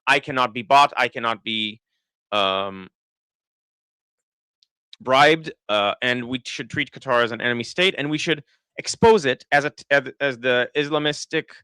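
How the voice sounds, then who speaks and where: Israeli man with an American-sounding accent, dry and bright. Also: medium pitch, normal speed, smooth, no room sound. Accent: American-sounding English. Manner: thinking aloud, many restarts and fillers. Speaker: political commentator. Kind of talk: live-stream commentary reading chat.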